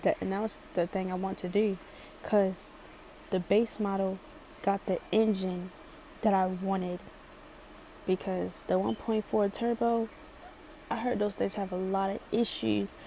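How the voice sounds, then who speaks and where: A woman's voice: medium pitch, little pitch movement, unhurried, long pauses, warm tone, slight background noise. A chatty young woman from Maryland with a light Baltimore accent, rambling sassily through a casual storytime. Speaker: vlogger.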